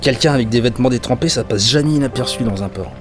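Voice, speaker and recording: An actor playing a tired, anxious dockworker in a fantasy audio drama, with a hesitant, stammering way of talking. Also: male voice, medium pitch, fast, music in the background, lightly acted. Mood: troubled, puzzled. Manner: hesitant, stammering, muttering to himself. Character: weary, anxious male dockworker in a fantasy port.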